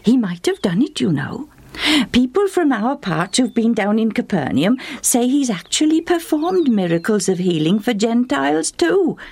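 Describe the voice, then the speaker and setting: A woman storyteller playing a chatty, insistent village woman in a Bible story, animated and urging. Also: on the high side, normal speed, vocal fry, husky, no echo, pitched above the performer's own voice, heavily acted.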